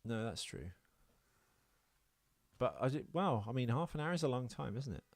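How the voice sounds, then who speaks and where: A man's voice: medium pitch, very animated, normal speed, dry recording. A man co-hosting a casual podcast, chatting off the cuff in a sweary, self-deprecating, rambling way. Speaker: podcast co-host. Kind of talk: casual unscripted podcast banter.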